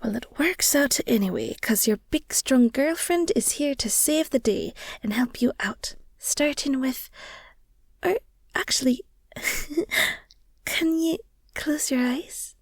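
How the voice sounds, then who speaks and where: Woman acting a high-voiced, tender, teasing werewolf girlfriend. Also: lively intonation, slow pace, no room sound, clean studio sound, heavily acted. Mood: teasing, affectionate. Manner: tender, teasing, murmured, often drops to whispers. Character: affectionate, playful, protective young werewolf girlfriend. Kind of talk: intimate girlfriend audio roleplay.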